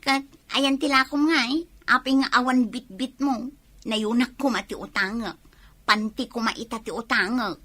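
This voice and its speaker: A woman's voice: a little high, moderate pace, pushed and bright. A woman playing a brazen, evasive neighbour who lives on credit in a comedy radio drama, with an Ilocano accent. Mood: defiant.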